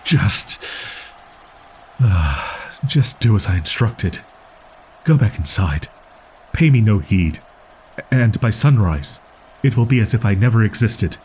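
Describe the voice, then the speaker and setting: A man's voice: on the low side, lively intonation, slow pace, pauses often, a little mellow, slight background noise, rough recording. A man voicing a proud, easily flustered young wolf-boy in a roleplay audio drama, with stilted archaic diction and defensive stammering. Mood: stern, threatening. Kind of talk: roleplay audio drama monologue addressed to listener.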